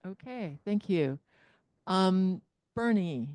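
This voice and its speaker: A woman's voice: mid-range voice, expressive, slow, darkish tone, dry recording. A woman facilitating a training session, laying out the agenda step by step in a meeting room, speaking into a handheld microphone. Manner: organized, walks through the agenda step by step. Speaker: workshop facilitator. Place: meeting room with people present and online.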